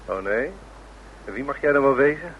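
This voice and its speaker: Man as gruff doorman, muffled recording. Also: medium pitch, lively intonation, moderate pace, lightly acted. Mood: surly. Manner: gruff, curt. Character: surly, suspicious male doorman of a crook. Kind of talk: radio play acting. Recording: dull, noisy old radio recording.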